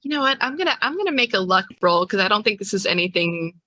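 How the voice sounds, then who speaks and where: Female game master, casual and giggly, bright forceful voice, dry close mic. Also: medium pitch, expressive, fast talker, dry recording. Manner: giggly, informal. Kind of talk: casual tabletop game narration.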